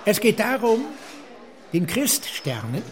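An actor playing an earnest, declamatory East German communist functionary in a literary radio play, with a husky voice. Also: male voice, sits high, very animated, slow, full character voice. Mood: earnest argument.

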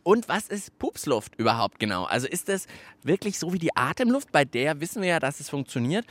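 A man co-hosting a science show for children in a lively, chatty way. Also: a little high, a little fast, dry recording. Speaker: children's science podcast host.

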